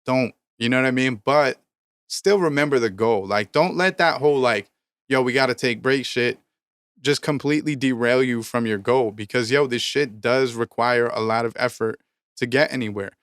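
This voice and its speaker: A man's voice: mid-range voice, moderate pace, fairly bright tone, dry recording, studio quality. A thirty-year-old man co-hosting a music business podcast in casual chat, ranting emphatically and swearing often. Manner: emphatic, profane, preachy motivational rants. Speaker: podcast co-host, rapper.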